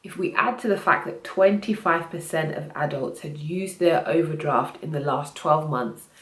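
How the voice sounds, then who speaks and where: Low-voiced woman with a cold. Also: moderate pace, fairly bright tone. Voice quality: voice slightly off from a cold. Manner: earnest, self-correcting explainer. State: has a cold. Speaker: finance vlogger. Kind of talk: casual talk to camera. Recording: echoey room.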